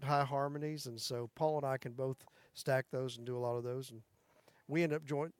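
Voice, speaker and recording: A man with a high tenor voice, easygoing and folksy, who tells stories with frequent chuckles. His voice sits a bit high for a man. The sound is dry and close, with little room in it. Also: no echo. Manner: folksy storyteller, chuckles often. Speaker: country music singer and guest. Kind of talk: casual interview chat.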